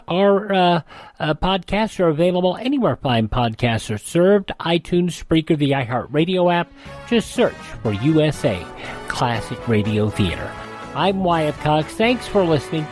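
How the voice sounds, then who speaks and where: A man's voice: on the high side, a little mellow, no echo. A man hosting a radio show, announcing in a conversational style.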